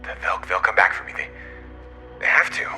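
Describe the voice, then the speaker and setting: An actor playing a stranded, desperate male astronaut talking to himself, halting, strained and short of breath. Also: bright, forceful tone, soft background music. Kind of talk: dramatic audio drama monologue.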